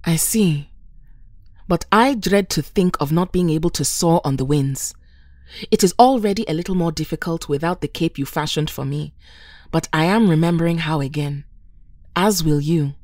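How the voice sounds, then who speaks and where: Woman playing low, stately superheroine. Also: moderate pace, darkish tone, dry recording, clean studio sound. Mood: calm, caring. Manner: formal, stately. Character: dignified, calm female weather-wielding superhero. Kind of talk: audio drama acting.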